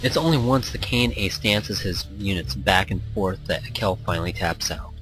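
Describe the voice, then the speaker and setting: A man's voice: low voice, normal speed, fairly bright tone, low-quality recording. A man hosting a let's play, reading visual novel text aloud in a playful, theatrical way. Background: background music.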